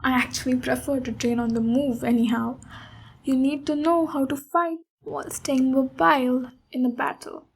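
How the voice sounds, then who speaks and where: A woman playing a big, friendly female ogre warrior in an ASMR roleplay, speaking gently and encouragingly as a mentor. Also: high voice, fairly even intonation, moderate pace, vocal fry, a little hiss or hum. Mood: warm, encouraging. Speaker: ASMR voice actor. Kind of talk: ASMR roleplay, slow intimate monologue.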